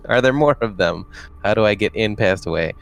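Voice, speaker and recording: A man's voice: fairly low pitch, expressive, moderate pace, vocal fry. A man chatting casually as a player in a tabletop roleplaying game.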